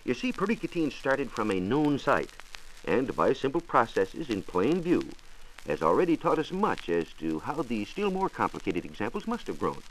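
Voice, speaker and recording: A man's voice: mid-range voice, sing-song, moderate pace. An American man giving a formal, precise scripted science explanation as a 1940s radio reporter. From United States. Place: radio studio. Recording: noisy vintage 1940s radio transcription disc.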